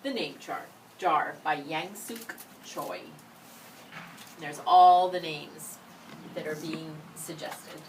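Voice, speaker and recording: A woman's voice: deep voice, slow. Woman reading a storybook, distant and noisy. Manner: expressive, acts out the dialogue. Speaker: story reader. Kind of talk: read-aloud of a children's picture book with brief asides. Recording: distant microphone, background noise.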